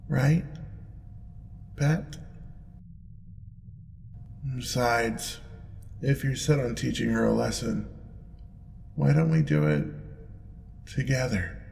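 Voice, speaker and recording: A man playing a smug, indulgent demon lord in an audio roleplay, with a deep gravelly voice and a playful, purring, drawn-out teasing manner. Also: darkish tone. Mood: taunting. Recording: long reverb, clear background noise.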